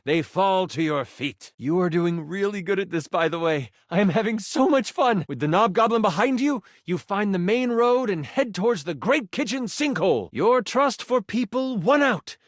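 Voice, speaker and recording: A personified gaming die who runs a fantasy tabletop adventure with dramatic, playful flair. The pitch sits fairly high and swings widely, in a close, dry video game recording. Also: male voice, lively intonation, normal speed, hardly any pauses, no echo. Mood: playful, upbeat. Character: excitable male D20 die personified as dramatic game master. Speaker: game master narrator. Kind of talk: theatrical tabletop fantasy storytelling.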